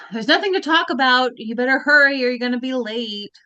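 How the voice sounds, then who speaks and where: A woman reads the lead girl's part over a video call, her voice pitched a little high and swinging widely. She moves between sulky protest and grand recital. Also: moderate pace, clear, resonant tone, dull audio. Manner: lively, swings between whiny protest and grand recital, breaks off to laugh at slips. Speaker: voice actor reading the lead teenage girl role. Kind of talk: live read-through over video call. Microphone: video call microphone.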